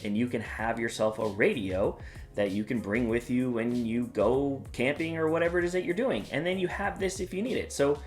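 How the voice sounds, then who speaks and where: A man's voice: mid-range voice, fairly quick. Guy hosting his review channel, chatty and upbeat, bit of guitar music in the back. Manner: upbeat, plain-spoken, with some "uh" fillers. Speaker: product reviewer and channel host. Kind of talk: casual product review vlog.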